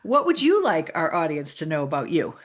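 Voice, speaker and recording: A woman's voice: sits low, sing-song, brisk. A middle-aged woman from Massachusetts with a slight Boston accent, hosting a casual interview in an effusive way, often repeating her phrases. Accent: American, slight Boston. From Braintree, Massachusetts, USA. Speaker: podcast host.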